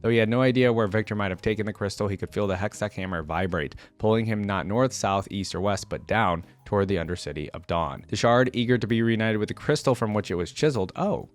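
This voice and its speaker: A man's voice: lowish voice, fast, few pauses. A man reading a story aloud as a reaction creator, breaking into informal, casual commentary. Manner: informal, filler-heavy asides between read passages.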